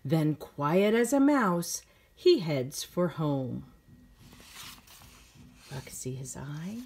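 A woman's voice: sits low, animated, extremely slow, darkish tone, a little hiss or hum. A middle-aged woman reading a children's picture book aloud expressively, with simple phrasing for young listeners.

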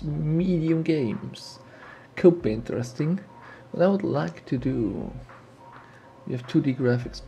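Man with a sniffly cold, slow musing let's play commentary, gravelly, soft music underneath. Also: medium pitch. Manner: thinks aloud, muses and repeats himself. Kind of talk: casual gameplay commentary.

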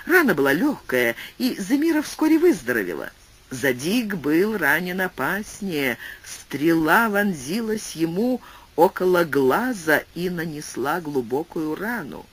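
A male audiobook narrator reads a classic tale with an expressive, swooping delivery. His voice sits high for a man, and his pitch rises and falls in wide, animated arcs. He shifts his pace freely as he tells the story. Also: measured pace, husky. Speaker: audiobook reader.

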